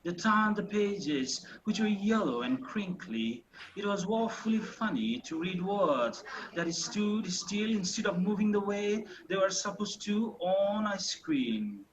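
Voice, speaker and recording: A man's voice: sits high, animated, a little slow, background noise. A man reading an old grandfather. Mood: nostalgic. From Bangladesh. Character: elderly grandfather remembering.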